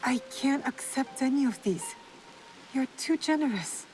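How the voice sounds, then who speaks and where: A woman's voice: high-pitched, lively intonation, unhurried, a little mellow, a little hiss or hum, rough recording. An actress playing an earnest, guarded young woman in a video game, speaking formally and directly. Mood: polite, direct. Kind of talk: video game cutscene acting.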